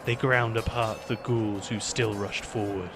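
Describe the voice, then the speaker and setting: A man narrating a fantasy battle in measured, scripted storytelling for a fan audio drama. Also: lowish voice, steady tone, over music.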